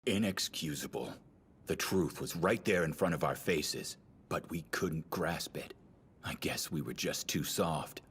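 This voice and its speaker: An actor playing a grave, weary lawyer, speaking with solemn restraint in a dramatic anime dub style. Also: male voice, rumbling low, a little slow, fairly bright tone, breathy edge, soft background music, heavily acted. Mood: bitter, subdued. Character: grave, weary male lawyer comforting his younger colleague.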